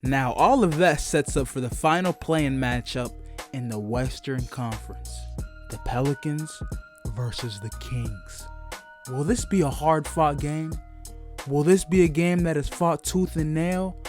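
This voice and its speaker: A man with a slightly high voice delivers comedic, scripted sports commentary. He is slangy and profane with mock-dramatic touches, and faint music plays behind him. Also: a little slow, darkish tone. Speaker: sports recap video host.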